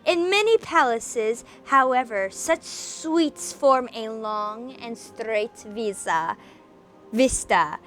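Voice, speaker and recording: A woman's voice: a little high, expressive, slow pace, a bit bright, soft background music. A woman at home who chats casually and gives theatrical, dramatic readings of spooky stories as an amateur host. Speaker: host and amateur story reader. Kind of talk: casual chat, then dramatic reading of a gothic horror story. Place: home workspace.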